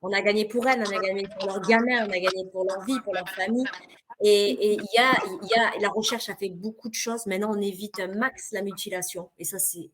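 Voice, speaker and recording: A woman's voice: medium pitch, steady tone, fairly quick, background noise. Emphatic woman from France on a call. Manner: emphatic, repetitive, insistent. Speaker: panelist. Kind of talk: passionate webinar discussion. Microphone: video call.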